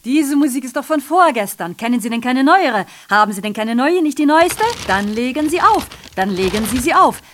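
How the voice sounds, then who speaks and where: An actress playing a sardonic messenger and broadcaster of grim past events in a radio drama, mocking and theatrical, sometimes confiding. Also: female voice, high voice, animated, normal speed, few pauses, a bit bright, slight background noise. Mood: mock-cheerful, insistent.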